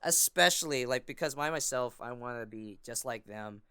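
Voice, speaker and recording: Clear-voiced man chatting enthusiastically. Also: medium pitch, normal speed, bright, forceful tone, no room sound. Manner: enthusiastic, rambling, repeats himself. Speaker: podcast guest, amateur voice actor and fandubber. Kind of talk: casual podcast chat.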